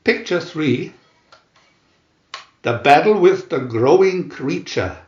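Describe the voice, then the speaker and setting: Very slow, theatrical man narrating. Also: on the high side, lively intonation, reverberant, highs cut off. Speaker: narrator reading View-Master booklet captions. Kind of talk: dramatic scripted narration of comic book captions.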